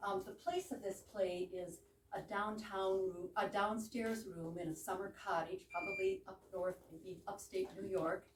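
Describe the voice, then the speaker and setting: A woman's voice: medium pitch, fairly even intonation, normal speed. Female presenter, informal explainer style, mid-range voice, roomy distant recording with faint noise. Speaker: presenter introducing a play she acts in. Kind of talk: informal explanatory introduction to an audience. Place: room in a private home with a small audience. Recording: echoey room, distant mic, background noise.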